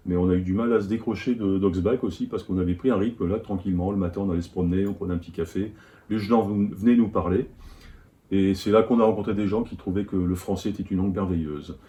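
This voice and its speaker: Deep, relaxed man. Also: quick pace. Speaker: travel vlog co-narrator. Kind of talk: casual travel recollection.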